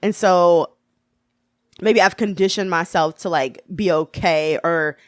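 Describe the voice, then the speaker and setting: A woman in her thirties, a guest on a podcast, talking casually and joking, laughing often and jumping up in pitch when she quotes people. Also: slow pace, no room sound, clean studio sound. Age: 30s. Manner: animated, jokey, laughs often, jumps up in pitch for quoted asides. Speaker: guest, author and model. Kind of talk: casual interview chat.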